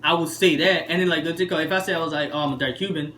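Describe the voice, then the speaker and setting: A man's voice: fairly high pitch, fairly even intonation, racing, pushed and bright. A young man from Haiti co-hosting a podcast, chatting casually over a card game and rambling with lots of fillers. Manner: rambling, many fillers like "what's it called". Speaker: podcast co-host. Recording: roomy room with faint background noise.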